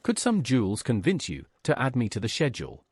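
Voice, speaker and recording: Actor as game adventurer, businesslike, dry sound, lively swinging intonation. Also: mid-range voice, fairly quick, breathy edge, no room sound. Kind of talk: terse game dialogue.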